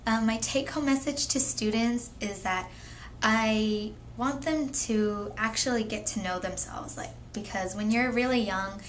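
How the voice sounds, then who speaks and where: A 22-year-old woman speaking in a measured, thoughtful way as a young mother sharing her story. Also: a little high, a little hiss or hum. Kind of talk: candid personal testimony.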